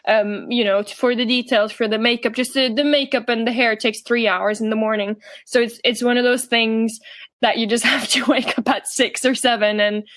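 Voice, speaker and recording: Norwegian woman chatting on a podcast, a bit high, dry sound, lots of ums. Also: steady tone, moderate pace, fairly bright tone, no room sound. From Norway. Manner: chatty, with frequent ums and self-restarts. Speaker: guest cosplayer. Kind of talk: casual podcast chat.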